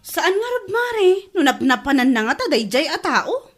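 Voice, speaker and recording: A woman's voice: high-pitched, very animated, moderate pace, faint music behind. A woman acting an exasperated, scolding wife in an Ilocano comedy radio drama, with a Filipino Ilocano accent. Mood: worried. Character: exasperated, nagging wife scolding her drinking husband.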